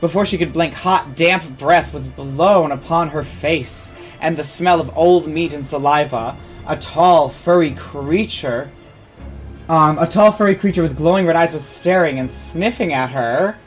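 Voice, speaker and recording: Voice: medium pitch, a little slow, rough recording. A host reading a comedic story aloud theatrically, with asides and self-corrections. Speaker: fan fiction reader and host. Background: music.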